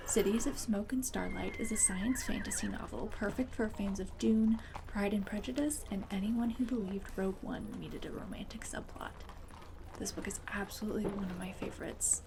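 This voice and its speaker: A woman doing a soft-spoken ASMR roleplay as a host, breathy and partly whispered, with a gentle, friendly manner. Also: mid-range voice, moderate pace, noisy background.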